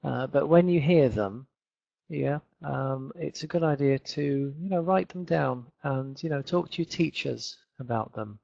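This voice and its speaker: Slow gravelly man from Leeds. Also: mid-range voice, a little mellow, no room sound. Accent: Northern English, Leeds. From England. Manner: chatty, repeats words, many fillers. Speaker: English teacher. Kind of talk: online webinar lesson. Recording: dull lo-fi webinar audio.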